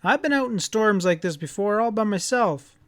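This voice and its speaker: Determined young country boy, acted by a man, desperate and pleading, pitched up, for a storybook. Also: animated, normal speed, a bit bright, no echo, studio quality. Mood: tearful pleading. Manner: earnest, pleading.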